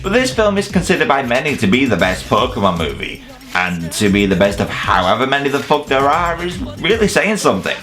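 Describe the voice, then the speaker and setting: A man's voice: mid-range voice, sing-song, a little fast, fairly bright tone, faint music behind. A man delivering scripted comedic film commentary as a countdown host, with a sarcastic, casually sweary manner.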